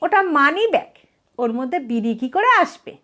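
A woman's voice: high voice, expressive, normal speed, fairly bright tone. A woman voicing a patient, dry man who humours a fool in a placating, mildly exasperated way, in a comic storybook reading. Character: male listener humouring a foolish thief, patient, dry.

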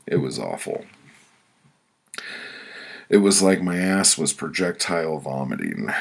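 Male author-narrator, bottom-of-the-range rasp, slow delivery, crude comic first-person storytelling. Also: rumbling low. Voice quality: gravelly, rough. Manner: crude, profane, blunt. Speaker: author reading their own audiobook.

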